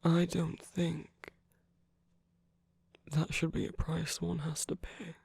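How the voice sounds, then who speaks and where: Man playing a husky, tender villain lover, half-whispered. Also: fairly high pitch, flat delivery, full character voice. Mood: gentle, earnest. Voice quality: soft, breathy, often dropping to a whisper. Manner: slow, gentle, measured, with deliberate pauses. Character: tender, commanding male villain comforting his lover. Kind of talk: romantic ASMR roleplay audio.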